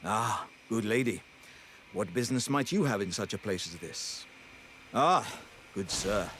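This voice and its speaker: Pompous British warrior jar, swooping delivery. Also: male voice, fairly low pitch, expressive, unhurried, slight background noise. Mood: hearty. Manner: grandiose, jovial, old-fashioned gentlemanly phrasing. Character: hearty, pompous, good-natured living warrior jar. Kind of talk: theatrical fantasy game acting. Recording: music behind the voice.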